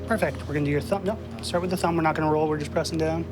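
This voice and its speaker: A border officer, a man with a slightly raised pitch, talks quickly and briskly while questioning and briefing on a case. He stays businesslike throughout, with music playing behind him. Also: steady tone. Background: background music.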